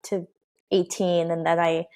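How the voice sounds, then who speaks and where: A woman's voice: lowish voice, flat delivery, unhurried, darkish tone. A woman in her twenties, raised in the United States, chatting as an interview guest in a bubbly way with frequent laughs and fillers. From United States (Oregon). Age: 20s. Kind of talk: casual interview chat.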